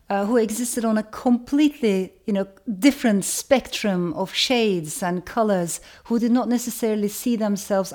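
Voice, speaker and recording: A woman from Turkey giving a reflective educational talk, speaking in a measured way and repeating phrases for emphasis. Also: mid-range voice, a little mellow.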